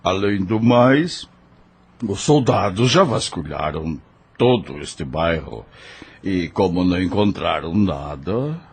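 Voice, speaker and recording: Man voicing a protective helper, deep voice, gravelly, husky, slow, cautioning, audio drama. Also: heavily acted. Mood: cautious. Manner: steady, practical, cautioning. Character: protective male helper hiding fugitives.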